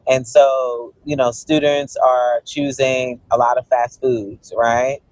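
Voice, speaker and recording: A man's voice: medium pitch, level tone, slow pace, dry recording, rough recording. A man from Guyana, a cookbook author, talking earnestly in an interview and often saying "you know". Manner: earnest, explanatory, frequent "you know" fillers. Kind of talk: interview conversation.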